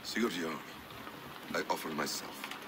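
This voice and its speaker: An actor playing a calm, watchful counsellor in a game cutscene, speaking in a quiet, restrained way. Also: male voice, deep voice, measured pace, noisy background. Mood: calm, intent. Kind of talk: video game cutscene acting.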